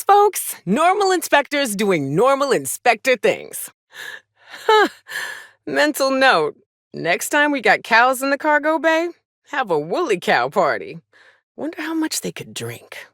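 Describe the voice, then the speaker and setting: An actress playing a tough, wry, hard-drinking space trailblazer in a video game, with a sardonic, folksy and salty-tongued manner. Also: female voice, on the low side, fairly bright tone, no echo, studio quality. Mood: dry, playful. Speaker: companion character in a video game. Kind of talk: video game voice acting, short reactive lines.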